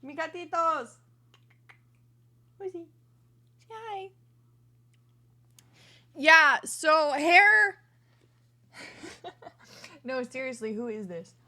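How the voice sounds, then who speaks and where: A hoarse woman streamer chatting casually to her livestream in a chatty, self-deprecating way from a room at home. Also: high voice, animated, extremely slow, pauses often, sharp tone.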